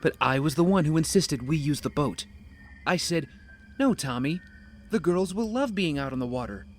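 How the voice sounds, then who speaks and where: Man acting a desperate, higher-voiced young castaway. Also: lively intonation, normal speed, slight background noise, natural voice. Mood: guilty. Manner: emotional, self-pitying, talking to himself. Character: desperate, starving young castaway wracked with guilt. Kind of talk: dramatic audio drama acting.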